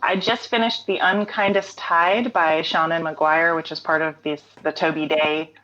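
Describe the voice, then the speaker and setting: A woman talking casually as an interview guest, relaxed, with frequent fillers and easy laughter. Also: low-pitched, a little slow, lo-fi sound. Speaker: interview guest, writer and songwriter.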